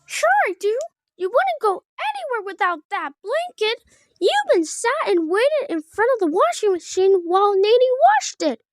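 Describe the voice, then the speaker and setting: A woman's voice: extremely high pitch, sing-song, moderate pace, no room sound, clean studio sound, voice pushed up. A woman voicing a cheerful, chatty little male baby bear in a storybook, with a bubbly, childlike manner. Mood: teasing, assured.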